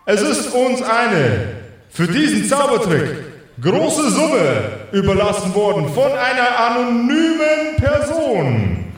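A man playing a grand, showy announcer of a magic contest in an improvised fantasy audio play, with theatrical, drawn-out delivery. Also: very high-pitched, big pitch swings, full character voice. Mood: grand, ceremonial. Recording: very echoey, distant from mic.

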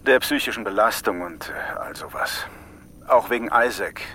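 An actor playing a male astronaut on a Mars base, dictating a log entry with hesitation and halting pauses, with a faint hum behind him. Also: on the low side, bright, forceful tone. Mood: hesitant.

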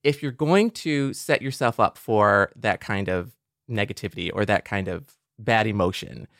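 A man's voice: lowish voice, normal speed, a bit bright, no echo, clean studio sound. A man co-hosting a podcast, speaking casually in an earnest, reflective way. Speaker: podcast co-host. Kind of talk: casual conversational podcast talk.

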